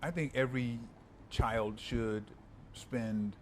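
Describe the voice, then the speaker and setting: A man's voice: medium pitch, a little hiss or hum. A man hosting a casual interview show, upbeat and full of affirmations, often restarting his sentences. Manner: enthusiastic, constant backchannel, halting restarts. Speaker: talk show host, pastor.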